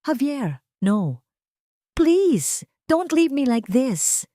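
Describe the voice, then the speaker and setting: A woman voicing a heartbroken young woman in an audio drama, pleading in a high, strained voice. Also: very animated, very slow, dark tone, dry recording, studio quality, full character voice. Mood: desperate.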